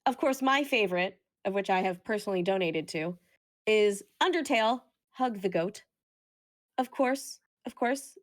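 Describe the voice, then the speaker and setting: A woman's voice: medium pitch, animated, moderate pace. A woman hosting a charity marathon live, chatting casually and playfully as she goes through donation updates.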